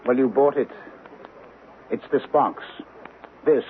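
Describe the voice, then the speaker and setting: Actor as grave colonial gentleman, noisy thin radio. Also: medium pitch, slow, close to an everyday voice. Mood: grave, ominous. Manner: urgent, portentous, insistent, formal phrasing. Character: grave, fearful British colonial gentleman warning his friend. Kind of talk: old-time radio drama acting. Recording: noisy, phone-like old radio recording.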